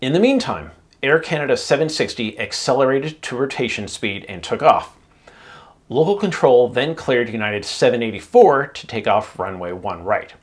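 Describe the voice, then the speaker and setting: Male explainer host for an aviation video, mid-range voice, measured and emphatic where it counts. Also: mid-range voice, lively intonation.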